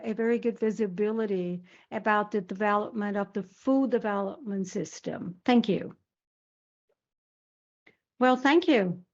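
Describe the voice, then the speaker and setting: A woman interpreting live on a corporate earnings call in a halting way, repeating and rephrasing words. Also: mid-range voice. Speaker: conference interpreter. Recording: dull, lo-fi conference call audio.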